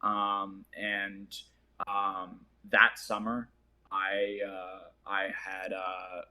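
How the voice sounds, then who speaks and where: Casting: man, native Portuguese speaker, bright and forward tone, loose rambling delivery with fillers. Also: low-pitched, monotone, extremely slow, low-quality recording. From Boston area, USA. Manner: rambling, filler-heavy, laughs often. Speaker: actor, interview guest. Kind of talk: casual interview chat.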